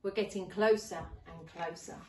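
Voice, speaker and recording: A woman in her forties reading a storybook to young children as a teacher, expressively and deliberately. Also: lowish voice. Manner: deliberate, animated. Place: living room at home. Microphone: camera a few metres away. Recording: roomy, distant camera microphone.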